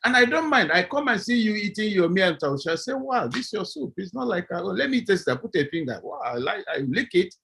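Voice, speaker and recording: A middle-aged man from southeast Nigeria with a Nigerian accent, a professor speaking on a video-call panel, animated and acting out little scenes as he argues. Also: sits high, a little fast, gravelly, hardly any pauses, a little hiss or hum.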